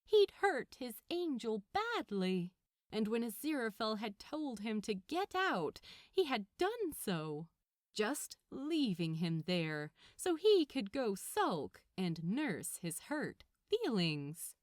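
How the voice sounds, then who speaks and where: A woman reading fiction aloud as an audiobook narrator, with expressive, dramatic and deliberate phrasing. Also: on the high side, no echo, studio quality.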